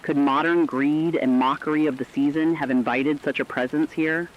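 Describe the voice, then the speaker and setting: A man playing a solemn radio co-anchor, giving measured, foreboding warnings in a scripted horror broadcast. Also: fairly high pitch, steady tone, close to an everyday voice. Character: ominous radio co-anchor warning of an ancient legend. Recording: muffled lo-fi audio, faint noise.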